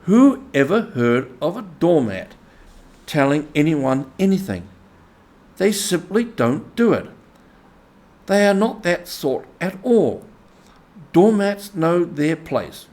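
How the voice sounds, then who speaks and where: A grumbling, stubborn storybook mole, performed by a man reading aloud. He talks slowly and deliberately, with pettish sarcasm, his pitch raised in huffy complaint. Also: big pitch swings, darkish tone. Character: grumbling, stubborn, literal-minded male mole. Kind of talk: animal character in storybook reading.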